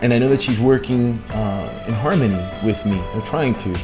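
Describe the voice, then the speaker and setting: A man's voice: medium pitch, normal speed, over music. A middle-aged man hosting a casual vlog chat, emphatic and often restating his points. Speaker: host of a couple's book-review vlog.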